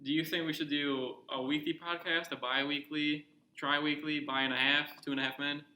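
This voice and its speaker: Bright-voiced young man, echoey room. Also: on the high side, level tone, normal speed. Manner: rambling, joking, asks lots of questions. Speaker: podcast co-host. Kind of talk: casual chat.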